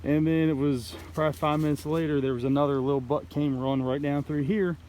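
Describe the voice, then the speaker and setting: A man telling a story casually in a halting, rambling way, like an outdoor vlog host talking to the camera. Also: fairly high pitch, fairly even intonation, a little mellow. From United States. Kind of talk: casual play-by-play hunting storytelling. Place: outdoors in woods by a creek. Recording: noisy outdoor ambience.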